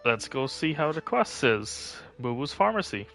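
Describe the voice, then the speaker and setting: A man's voice: mid-range voice, big pitch swings, normal speed, gravelly, a bit bright, soft background music. A man casually chatting on a game livestream, rambling and correcting himself as he goes. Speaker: game streamer.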